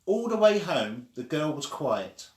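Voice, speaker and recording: Expressive male storyteller in an echoey room. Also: a little high, measured pace. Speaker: story reader. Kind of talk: read-aloud children's storytelling.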